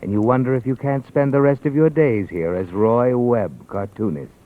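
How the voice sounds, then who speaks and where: An actor playing a brooding narrator who addresses the hero in an old radio suspense tale. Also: male voice, medium pitch, moderate pace, lightly acted. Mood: measured suspense. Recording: tinny old radio broadcast, faint music.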